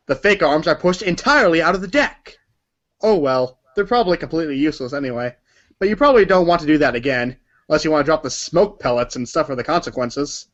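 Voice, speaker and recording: A man's voice: on the high side, highs cut off. A man reading scripted second-person narration like a read-along storybook, with theatrical emphasis.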